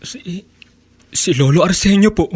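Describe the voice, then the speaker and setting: A man's voice: sits high, unhurried, a little mellow, breathy edge, heavily acted. A man with a Filipino accent playing a respectful, caring young grandson in a radio drama, polite and pleading. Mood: hurt, puzzled.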